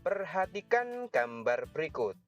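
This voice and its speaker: A male teacher giving an online lesson, speaking slowly and patiently to children. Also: on the high side, very animated, muffled recording, faint music behind. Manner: slow, patient, talks directly to children.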